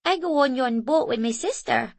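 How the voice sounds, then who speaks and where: A woman's voice: sits high, expressive, moderate pace, gravelly, no room sound, voice pushed up, full character voice. A woman voicing a small, proud little boy with a broad Scottish accent in a storybook reading.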